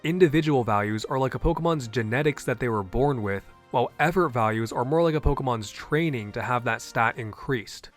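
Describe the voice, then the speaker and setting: A man's voice: medium pitch, a little fast, hardly any pauses, faint music behind. A man hosting a scripted explainer video, earnest and opinionated, with a native English voice. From half Japanese. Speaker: YouTube host.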